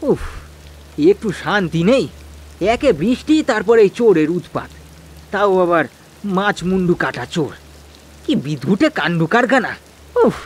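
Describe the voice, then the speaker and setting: Horror cartoon villager, done by a man: worried grumbler, stammering exclamations, raised male pitch. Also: big pitch swings, unhurried, pauses often, breathy edge, full character voice. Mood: weary, exasperated. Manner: exclamatory, stammering, repeats words. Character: anxious, grumbling village fish-farmer husband. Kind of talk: Bengali horror cartoon dialogue.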